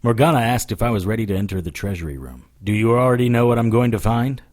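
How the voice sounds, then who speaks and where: A man's voice: on the low side, fast talker, darkish tone, natural voice. A man playing a wry, defiant supernatural investigator who narrates his case in an audio drama, dry and firm. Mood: wry narration. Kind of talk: first-person detective audio drama.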